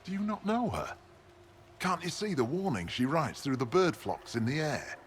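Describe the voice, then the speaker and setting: An actor playing a proud, devout mountain barbarian healer in a video game, speaking in terse, solemn lines. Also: male voice, fairly high pitch, very animated, moderate pace, a little hiss or hum. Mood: gruff, solemn.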